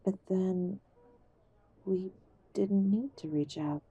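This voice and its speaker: A woman's voice: mid-range voice, slow, mellow, husky, no room sound, lo-fi sound, heavily acted. A woman playing a middle-aged mother in an audio drama, defensive and reproachful with her grown son. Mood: subdued. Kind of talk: audio roleplay drama acting.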